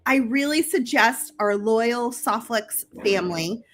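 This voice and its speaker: A woman's voice: animated, slight background noise. A woman co-hosting a craft livestream from home, teasing her co-host with incredulous questions before running through a store deal. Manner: teasing, incredulous questions, then brisk sales pitch. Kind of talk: casual chat and shop promotion.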